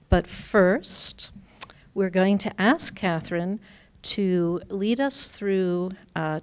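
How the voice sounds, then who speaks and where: A middle-aged woman introducing a guest speaker at a podium microphone, measured and reading from notes with pauses. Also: lowish voice. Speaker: event host introducing the speaker. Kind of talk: formal introduction. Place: lecture room with a painting behind.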